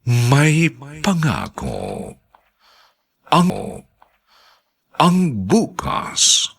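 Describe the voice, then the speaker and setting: A man announcing a Filipino radio drama in a theatrical, formal style, drawing out titles in a husky voice. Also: medium pitch, wildly swooping pitch, extremely slow, fairly bright tone.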